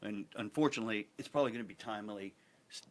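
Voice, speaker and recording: A middle-aged American man talking as an interview guest in a halting, reflective way, often repeating phrases. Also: lowish voice, a little hiss or hum. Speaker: theatre producer and interview guest. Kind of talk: conversational interview talk.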